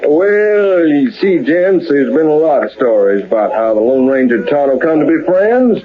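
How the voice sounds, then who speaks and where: Folksy cowboy yarn-spinner, American Western drawl, old-time radio western, thin and noisy recording. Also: male voice, on the high side, big pitch swings, moderate pace, phone-quality sound. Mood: storytelling.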